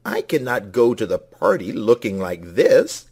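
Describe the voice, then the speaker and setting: A man's voice: on the low side, very animated, a little slow, a little mellow, breathy edge, heavily acted. A man voicing a vain, pleading storybook rooster who calls out and begs insistently. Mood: dismayed.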